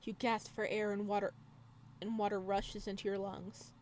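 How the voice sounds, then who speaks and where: A woman doing a video game let's play, reading game text aloud with expressive drama and adding casual asides. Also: mid-range voice, normal speed, slight background noise. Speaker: gaming video creator.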